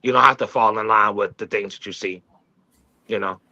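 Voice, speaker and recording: Male talk show regular, very sharp bright voice, slightly dull lo-fi audio, casual discussion with thoughtful, halting delivery. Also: on the low side, fairly even intonation. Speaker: talk show team member.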